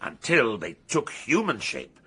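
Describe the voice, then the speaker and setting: An actor playing a pompous, authoritative professor of arcane lore in a radio mystery, holding forth deliberately in a husky voice. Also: male voice, mid-range voice, huge pitch swings, sharp tone, exaggerated voice. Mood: commanding. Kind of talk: radio mystery drama acting.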